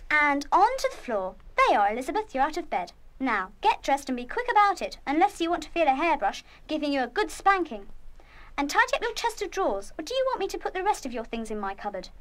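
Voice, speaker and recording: Bossy, high-voiced dormitory head girl. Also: big pitch swings, a bit bright. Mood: sarcastic, bossy. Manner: brisk, scolding, sarcastic. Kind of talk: full-cast children's radio play acting.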